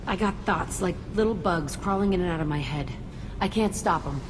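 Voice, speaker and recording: A low-voiced, damaged young woman outlaw from a video game , acted by a woman. She talks in blunt, profane, clipped bursts with a bitter edge, over clear background noise. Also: a little fast, lightly acted. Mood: bitter, haunted. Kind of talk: video game cutscene acting.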